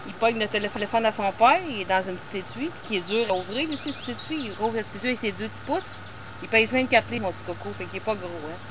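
A woman with a Quebec French accent, animatedly telling friends a story about her grandson at a campground. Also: medium pitch. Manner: animated storytelling, quick asides. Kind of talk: casual chat among friends. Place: campground outdoors. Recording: loud crowd and outdoor noise.

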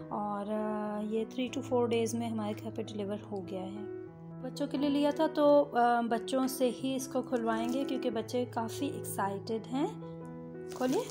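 A woman vlogger giving a casual product review at home, chatty and full of hesitations. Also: a little high. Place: home, indoors.

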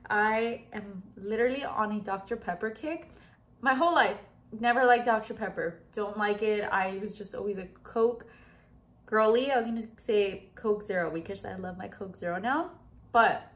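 A young woman in her twenties chatting casually to the camera at home, upbeat and full of "like" and "just". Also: medium pitch. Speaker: lifestyle vlogger. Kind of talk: casual vlog chat to camera. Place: home living room and bedroom.

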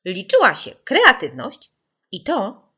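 A woman reading a children's audiobook as an expressive storyteller, putting dramatic emphasis on key words. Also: medium pitch, slow, breathy edge.

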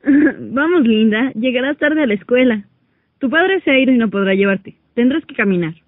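A woman playing a gentle, affectionate mother of a teenage daughter in a radio drama. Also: on the high side, expressive, moderate pace, no echo. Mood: tender. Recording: dry, thin phone-like amateur recording.

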